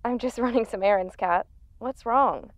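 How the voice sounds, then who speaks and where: An actress playing a dry-witted, supportive assistant on a phone call in an audio drama, matter-of-fact and reassuring. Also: female voice, a little high, moderate pace, no echo. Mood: brisk, friendly. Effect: phone call filter. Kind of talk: naturalistic audio drama acting. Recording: slightly muffled phone-call sound.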